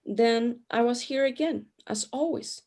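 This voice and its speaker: A woman's voice: fairly high pitch, unhurried, a little mellow. A woman playing a knowing, dryly teasing resident of a spirit realm in a sci-fi radio drama, matter-of-fact in manner.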